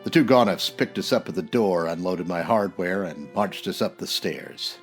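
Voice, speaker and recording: Hard-boiled radio private eye, music behind. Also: male voice, deep voice, moderate pace. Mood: brooding narration. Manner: terse, sardonic, tough. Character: hard-boiled, wisecracking male private detective from old-time radio. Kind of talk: 1940s radio noir acting and narration.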